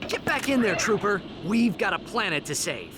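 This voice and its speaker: Game lead: cocky boyish hero, wry and exasperated, wildly varied pitch, loud noise behind. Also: male voice, big pitch swings, moderate pace. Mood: determined. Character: cocky young hero, sarcastic, boyish and brash.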